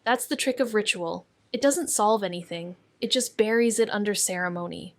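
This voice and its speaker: Woman acting a deadpan young noir sleuth, close and dry. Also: medium pitch, normal speed, no echo, natural voice. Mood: somber, watchful. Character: wry, watchful young female amateur sleuth narrating noir mystery. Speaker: narrator and lead character. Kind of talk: noir scripted narration.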